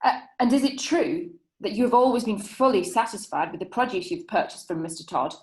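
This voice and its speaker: A woman's voice: mid-range voice, animated, brisk, roomy sound, lightly acted, poor audio. An actress playing a crafty female defence lawyer in a period courtroom play, formal and insinuating. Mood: leading, insinuating. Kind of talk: theatrical courtroom play acting.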